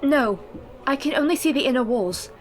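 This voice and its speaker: A Victorian wife under a dark curse acted by a woman speaks in a high, measured voice. She is brave and formal in radio-play style, with faint ambience behind her. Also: animated, slight background noise. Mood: hushed, searching.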